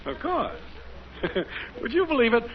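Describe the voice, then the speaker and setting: High-voiced cocky radio sitcom neighbor. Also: male voice, sing-song, a little slow, crowd noise, full character voice. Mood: boastful. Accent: American. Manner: smug, teasing. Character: cocky, bickering, know-it-all male neighbor. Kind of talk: 1950s radio sitcom acting. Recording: noisy, dull 1950s radio broadcast.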